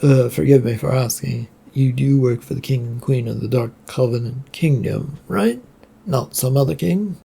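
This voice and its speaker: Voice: medium pitch, normal speed, creaky, warm tone, husky. A storyteller voicing an unsure, polite young man with a hesitant, placating manner.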